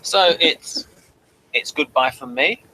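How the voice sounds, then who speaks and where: A man's voice: fairly high pitch, slow pace, bright, forceful tone, low-quality recording. A man hosting a review show in casual chat, earnest and literal-minded.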